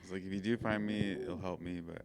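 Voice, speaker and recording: A man's voice: low-pitched, fairly even intonation, brisk, background noise. An American man co-hosting a podcast, chatting casually with short, dry reactions. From Arizona, United States. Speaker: podcast co-host. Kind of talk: casual chat, brief reactions.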